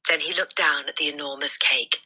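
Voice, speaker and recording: A woman narrates a children's story with expression. Her voice is low for a woman and rough-edged, yet strikingly bright and forceful. She reads as a storyteller. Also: fairly even intonation, a little fast, low-quality recording. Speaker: storybook reader. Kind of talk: expressive scripted read-aloud of a children's story.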